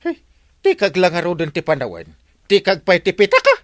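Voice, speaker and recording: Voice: medium pitch, huge pitch swings, fairly quick, a bit bright, no room sound. A sleepy village captain played by an actor in a comedy radio drama. The voice leaps wildly up and down as it stammers and whines lazy excuses, recorded close and dry. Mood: lazy, complaining.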